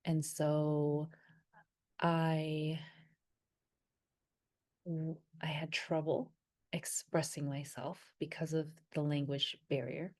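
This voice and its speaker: A woman whose first language was Vietnamese, telling personal memories in a soft, hesitant way and pausing often to search her thoughts. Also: sits low, level tone. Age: adult. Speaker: wellness creator. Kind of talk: reflective personal storytelling.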